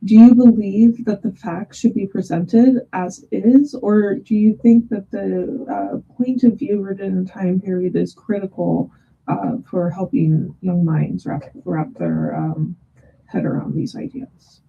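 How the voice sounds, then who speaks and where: A woman hosting a webinar, putting questions to a guest with hesitant, halting phrasing over a dull video call microphone. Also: medium pitch, fairly even intonation, creaky, warm tone, a little hiss or hum. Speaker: host, English teacher. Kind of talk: webinar interviewing, reading out audience questions.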